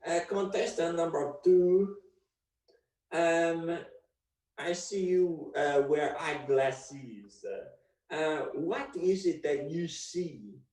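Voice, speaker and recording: A man putting on a slow, hammy French bachelor. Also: fairly high pitch, a little mellow, far from the mic. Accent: put-on French accent. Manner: theatrical, drawn-out questions sprinkled with French. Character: pompous French bachelor hosting a dating game. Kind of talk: improv comedy. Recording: roomy sound, away from the mic.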